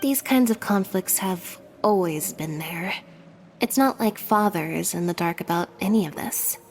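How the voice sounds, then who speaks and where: A quiet, unruffled young woman from a video game, terse and deadpan. Her voice carries a long reverb with faint noise behind it. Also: fairly low pitch, unhurried. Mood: flat, matter-of-fact.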